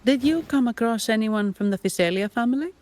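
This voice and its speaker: A woman's voice: on the high side, animated, a little fast, darkish tone, lightly acted. An actress playing a polite, observant young woman adventurer in a video game, composed and inquiring. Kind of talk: scripted game dialogue. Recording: music playing behind the voice.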